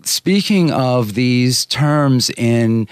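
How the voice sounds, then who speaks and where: A man whose first language is Greek, a yoga teacher talking at length in an interview about anatomy and yoga with a measured, explanatory manner. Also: mid-range voice, lively intonation, clear, resonant tone, no echo. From Greek family. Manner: measured, explanatory, with many uh fillers.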